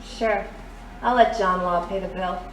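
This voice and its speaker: An actress playing a brassy, defiant, hard-drinking dame in a noir radio drama, wisecracking in tough slang. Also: female voice, mid-range voice, fairly even intonation, a little slow, natural voice. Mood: wry. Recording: roomy, distant, faint background noise.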